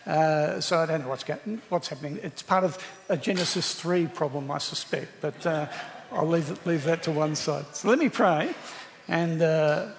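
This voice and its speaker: Croaky-voiced man preaching in a hall. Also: on the high side, moderate pace, a little hiss or hum. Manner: conversational, checks in with the audience. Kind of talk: sermon to a congregation.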